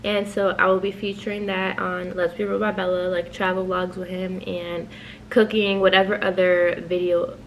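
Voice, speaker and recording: A woman's voice: lowish voice, level tone, normal speed, a little hiss or hum, poor audio. Chatty young woman hosting a vlog. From New York, raised in New Jersey. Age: 20s. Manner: chatty, playful, swears freely. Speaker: channel host. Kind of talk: casual couples Q&A chat.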